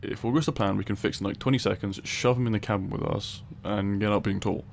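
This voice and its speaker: A man's voice: lowish voice, steady tone, quick pace, gravelly, darkish tone, slight background noise. A male voice actor playing a grumpy, superior lab scientist in an ASMR audio roleplay, dry and curt. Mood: urgent, weary.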